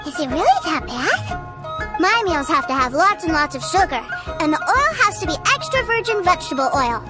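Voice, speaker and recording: A woman voicing an innocent, excitable little boy in a video game, childlike and earnest. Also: extremely high pitch, normal speed. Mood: fussy. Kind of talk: scripted video game character acting. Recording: music behind the voice. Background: game music.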